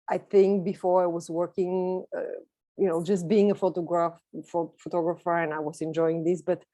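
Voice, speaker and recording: A woman's voice: fairly low pitch, darkish tone, no room sound. A woman, giving a chatty, enthusiastic personal talk as a guest speaker on an online video call. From Bulgaria. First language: Bulgarian. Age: 30s. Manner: chatty, enthusiastic, laughs lightly while talking.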